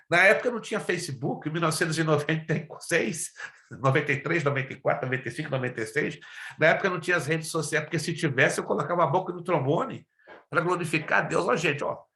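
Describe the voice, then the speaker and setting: A Brazilian man, native in Spanish, teaches a class in the manner of a pastor. His voice sits fairly high for a man and carries a roomy echo. He is emphatic, repeating key phrases and pressing listeners with direct questions. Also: creaky, bright, forceful tone. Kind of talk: preacherly lesson with personal testimony. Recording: roomy, echoing sound.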